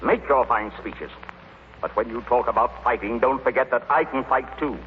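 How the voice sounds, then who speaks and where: A man's voice: medium pitch, normal speed, close to an everyday voice. An actor playing a pompous, indignant businessman with a formal, clipped manner in an old radio comedy. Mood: threatening. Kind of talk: 1930s-40s radio acting. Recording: tinny, noisy old radio recording.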